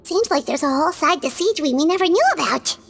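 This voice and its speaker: A woman voicing a tiny floating companion who is excitable and chatty and talks about herself by name. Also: sits high, fast, gravelly. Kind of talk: game voice acting. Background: music.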